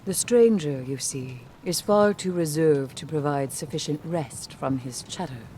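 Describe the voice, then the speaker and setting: An actress playing a solemn, brooding mystic scholar in a video game, with formal, theatrical, dryly sardonic phrasing. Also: female voice, low-pitched, unhurried, warm tone, slight background noise. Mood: weary, sardonic. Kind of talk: video game cutscene acting.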